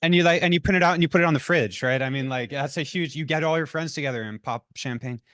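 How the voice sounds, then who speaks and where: A man's voice: a little high, fast, a bit bright, no echo, studio quality. A man on a poetry reading panel, talking loosely and chattily with lots of "like"s before an audience. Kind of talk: casual panel talk.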